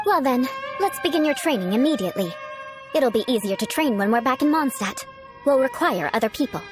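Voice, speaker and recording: Haughty young noblewoman, higher-pitched, lilting. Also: lively intonation, fairly quick, music in the background. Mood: haughty, intrigued. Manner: formal, prim, lofty diction. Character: haughty young aristocratic woman obsessed with polite vengeance. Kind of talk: video game character dialogue.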